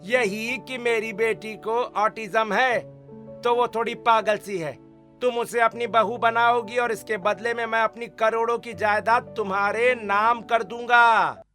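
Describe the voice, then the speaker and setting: Guy doing a pushy scheming rich father, cartoon story, high sharp voice. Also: steady tone, unhurried, faint music behind, exaggerated voice. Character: greedy scheming rich father, impatient, male.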